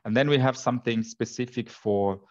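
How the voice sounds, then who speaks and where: A man's voice: medium pitch, moderate pace. A man from Ukraine, giving a product presentation in English with many fillers and false starts. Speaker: software sales and technical support presenter. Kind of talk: presentation at an info day. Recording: dull lo-fi recording.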